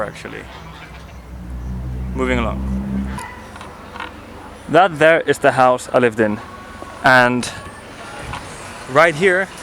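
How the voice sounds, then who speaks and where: A man's voice: medium pitch, fairly bright tone, slight background noise. A man from Corfu, Greece, presenting a casual personal vlog in an informal storytelling way. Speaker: vlogger and presenter. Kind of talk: casual to-camera personal narration.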